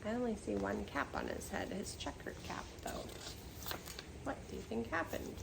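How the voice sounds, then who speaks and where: A woman's voice: medium pitch, very animated, moderate pace, vocal fry, very noisy. An older woman reading a children's picture book aloud expressively, with chatty asides and questions to young listeners.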